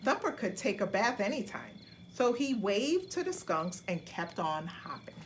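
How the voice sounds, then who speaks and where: A woman narrating a children's bedtime story in an expressive, deliberate storytelling manner. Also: mid-range voice, a little hiss or hum.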